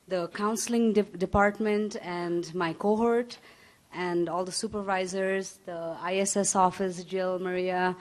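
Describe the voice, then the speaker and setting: Woman w a lowish voice thanking her dept at graduation, bit hesitant. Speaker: counseling graduate. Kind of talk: short ceremony thank-you speech. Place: graduation ceremony hall.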